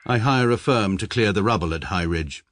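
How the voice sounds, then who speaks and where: An actor playing a formal, deadpan British barrister who narrates in a radio drama. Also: male voice, fairly low pitch, normal speed, a little hiss or hum. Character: stiff, precise English barrister narrator, middle-aged man.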